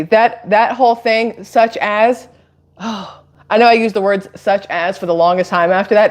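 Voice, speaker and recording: Casting: American woman, medium pitch, chatty and rambling live stream commentator, informal and emphatic. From Atlanta, United States. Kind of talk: casual political commentary to viewers.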